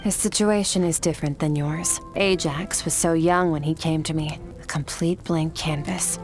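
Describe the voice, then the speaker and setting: A woman playing an aloof, composed sword master from another world in a fantasy game, speaking in a measured, detached way. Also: low voice, steady tone, lightly acted. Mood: calm, resolute. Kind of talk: dubbed fantasy game dialogue. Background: game music.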